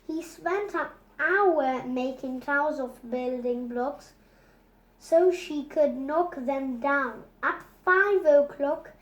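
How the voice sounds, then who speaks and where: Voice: high voice, slow, reverberant, low-quality recording. A child reading a children's storybook aloud, carefully, with stumbles and repeated words.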